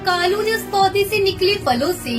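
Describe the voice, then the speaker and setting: A woman's voice: very high-pitched, lively intonation, moderate pace. A woman narrating a scripted moral story for children. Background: music.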